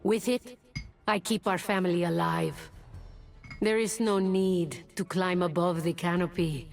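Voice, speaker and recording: A woman playing a fierce, proud huntress and pack mother of a jungle tribe in a video game, speaking with a deliberate, commanding menace. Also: on the low side, fairly even intonation, creaky, faint music behind. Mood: proud, solemn. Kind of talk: video game character voice lines.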